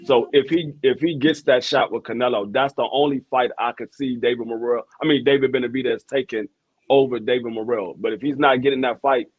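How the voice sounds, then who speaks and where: A man's voice: mid-range voice, brisk, slightly rough, dry recording, dull audio. A man from Washington, D.C. hosting a boxing podcast, talking casually with frequent restarts and repeated words. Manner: hesitant, repeats words, gets animated when arguing. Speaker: podcast host. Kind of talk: casual sports talk.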